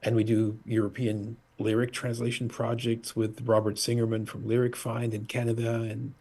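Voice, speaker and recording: Man, husky voice, middle-aged, from Portugal, halting casual chat, lots of fillers, video call. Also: mid-range voice, monotone, mellow. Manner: halting, many fillers and restarts. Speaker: musician guest.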